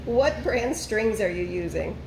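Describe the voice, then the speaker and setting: A woman's voice: mid-range voice, expressive, a little slow, gravelly. A woman reading fan questions aloud to a friend in a plain, matter-of-fact way. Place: brewery. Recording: roomy echo with ambient noise.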